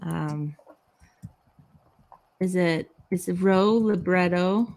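A woman's voice: lowish voice, extremely slow, a little mellow. A woman in her thirties hosting a casual video chat about art, speaking into a desk microphone. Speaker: host and artist. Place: cluttered indoor studio. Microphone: desk microphone on a boom, video call.

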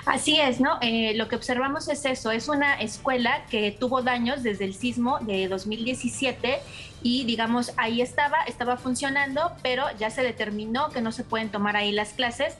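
Guest expert, woman, higher voice, careful explainer over video call, faint music underneath. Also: a bit bright. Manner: measured, explanatory, frequent fillers and restarts. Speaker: education researcher, guest. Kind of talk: live news interview by video call.

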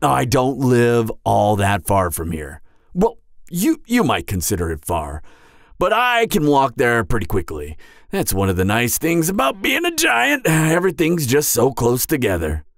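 A man's voice: medium pitch, wildly swooping pitch, measured pace, gravelly, exaggerated voice. A man voicing a friendly, clumsy, apologetic giant in a roleplay audio drama, talking in a hesitant, flustered ramble. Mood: eager, reassuring.

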